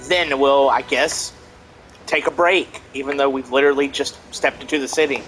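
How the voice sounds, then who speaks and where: A man's voice: mid-range voice, steady tone, normal speed, a bit bright, rough recording. A man giving casual let's play commentary in a wry, mock-exasperated way, with game music behind. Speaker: gaming let's player.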